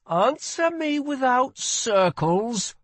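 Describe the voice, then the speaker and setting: A man acts out a wounded young prince in an audiobook, his voice lifted high and swinging widely. He is petulant, demanding and theatrical, with playfulness over guilt. The recording is dry and close. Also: big pitch swings, moderate pace, dry recording. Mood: indignant. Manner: scornful, dramatic, demanding.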